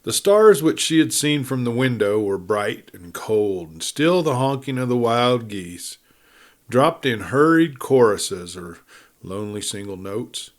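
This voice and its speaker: A man narrating a western novel as an audiobook, in a measured, deliberate storytelling style with dramatic pauses. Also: medium pitch, big pitch swings.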